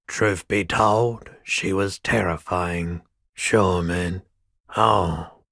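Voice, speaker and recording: A very low-voiced, middle-aged pawnshop keeper from a video game, talks slowly. He is gentle and a little odd, musing in a laid-back, weary way, and the recording is close and dry. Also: no room sound, full character voice. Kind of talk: video game character dialogue.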